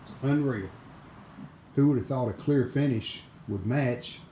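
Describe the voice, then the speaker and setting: A man giving casual, plainspoken hands-on narration as the host of a repair video, unscripted and pausing mid-sentence. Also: mid-range voice, warm tone, low-quality recording. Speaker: host of a radio restoration video channel. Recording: clear background noise, room ambience.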